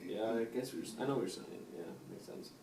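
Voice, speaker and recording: A man's voice: mid-range voice, fairly quick, close to an everyday voice. A man playing a defensive sixteen-year-old son in family therapy, in an amateur role-play. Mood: grudging, subdued. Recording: roomy, distant mic with faint noise.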